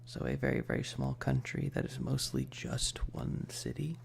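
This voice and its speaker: A man chatting casually and softly on an ASMR livestream, rambling with hesitant pauses and often slipping into breathy, whispery speech. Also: medium pitch, wildly swooping pitch. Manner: self-deprecating, nerdy. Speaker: ASMR streamer.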